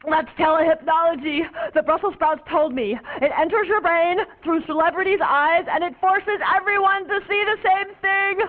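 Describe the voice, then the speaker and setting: A woman playing a high, paranoid young raver rambling dreamily to police officers, her voice tinny and lo-fi. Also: normal speed, few pauses. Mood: panicked. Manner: dreamy, babbling, whimpering. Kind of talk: comic stage monologue. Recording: tinny phone-like lo-fi recording.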